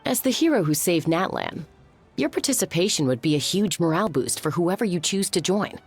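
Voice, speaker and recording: A husky, earnest explainer. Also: female voice, lowish voice, very animated, a little fast, darkish tone, faint music behind, full character voice. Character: earnest woman explaining the trials. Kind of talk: video game voice acting.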